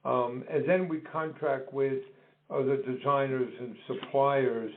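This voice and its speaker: A middle-aged or older man, explaining his business in an interview in measured, deliberate phrases. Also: mid-range voice, fairly even intonation. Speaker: business co-founder being interviewed. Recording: dull phone-like call audio.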